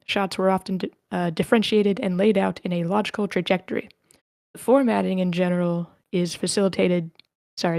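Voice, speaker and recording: A woman's voice: mid-range voice, dark tone, no room sound. Woman hosting an online writers meetup, casual, reads stuff out, really dry sound no room. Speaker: host moderating a writers' feedback session. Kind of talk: casual moderating and reading out written comments. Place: live online meeting room. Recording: online group meeting.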